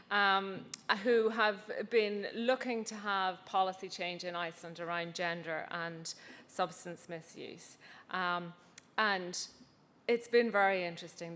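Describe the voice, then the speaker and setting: A woman presents her research at a conference, her talk relaxed and conversational with plenty of ums and self-deprecating asides. Her voice sits at a middling pitch and carries a long reverb from the hall around her.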